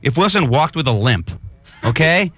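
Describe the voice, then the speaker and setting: A man's voice: a little high, very animated, normal speed, fairly bright tone, dry recording. A man co-hosting a late-night call-in radio show, rambling through made-up stories to make his point, on a studio microphone. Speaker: radio co-host.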